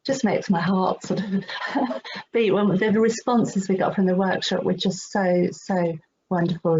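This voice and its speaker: A woman's voice: mid-range voice, gravelly, darkish tone. Female presenter, informal and effusive, on a muffled online call with faint background noise. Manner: enthusiastic, effusive. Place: online video meeting.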